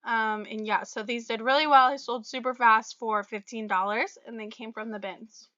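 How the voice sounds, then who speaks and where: A young woman vlogger, slightly raspy from a cold, chatting casually about her resale items with fillers and little laughs. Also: on the high side, steady tone, normal speed, pushed and bright, no room sound, muffled recording. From Florida. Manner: chatty, friendly, many fillers and small laughs. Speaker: reseller vlogger. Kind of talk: casual talk to camera about sales.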